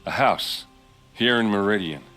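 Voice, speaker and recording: A brash, deep-voiced young warrior. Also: male voice, lively intonation, unhurried, sharp tone, faint music behind. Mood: concerned. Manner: blunt, hot-tempered, friendly. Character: brash, hearty young male warrior captain. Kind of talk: video game cutscene acting.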